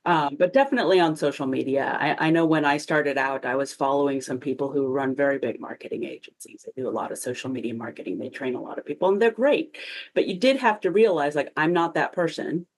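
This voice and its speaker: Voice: mid-range voice, fast talker. A marketing consultant hosting a casual chat, agreeable and full of anecdotes. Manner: chatty, agreeable, tells anecdotes. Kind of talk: casual advice conversation.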